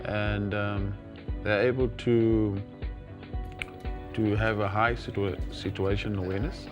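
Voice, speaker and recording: Male South African expert guest, lowish pitch, formal and stop-start delivery, music underneath. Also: little pitch movement, slow pace. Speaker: guest, air traffic controller. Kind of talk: explanatory interview answers. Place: TV studio.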